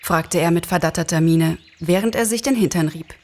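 Casting: female storyteller, lowish pitch, expressive scripted narration. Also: brisk. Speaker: narrator, actress.

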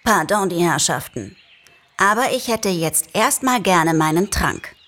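A woman voicing a polite, formal talking fox who is softly insistent, in a storybook reading. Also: lowish voice, animated, a little slow. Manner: courteous, old-fashioned, softly insistent.

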